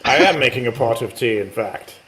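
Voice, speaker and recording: A man's voice: mid-range voice, animated, brisk, sharp tone. A man chatting casually as a guest, with politely droll, formal phrasing. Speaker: guest on the show. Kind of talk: casual chat.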